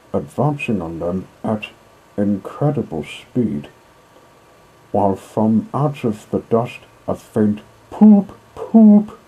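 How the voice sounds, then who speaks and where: Slow, husky man reading a story. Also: fairly low pitch, warm tone, poor audio. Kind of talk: dramatic audiobook narration of a children's classic.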